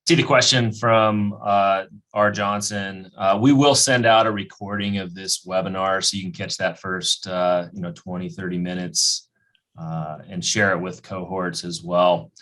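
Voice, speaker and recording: A man with a fairly low voice speaks as a webinar moderator. He handles announcements and promotion in a casual presenting style. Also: fairly even intonation, a little slow, a bit bright.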